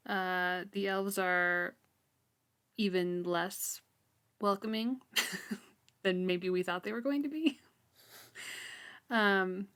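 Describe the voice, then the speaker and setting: Woman, medium pitch, podcast co-host, casual book chat, informal and giggly. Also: steady tone, very slow, pauses often, fairly bright tone, clear, resonant tone.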